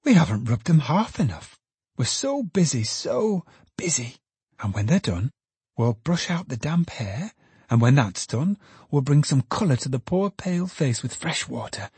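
A man voicing a young woman, a tender, anxious daughter who speaks in a soft, coaxing way. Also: a little high, big pitch swings, unhurried, breathy edge, no room sound, pitched above the performer's own voice, full character voice.

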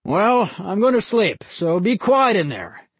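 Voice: medium pitch, big pitch swings, measured pace, husky, no room sound, voice pushed up, heavily acted. A narrator voicing a weary father scolding his sons through the wall in an audiobook. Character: tired, grumpy father of two boys.

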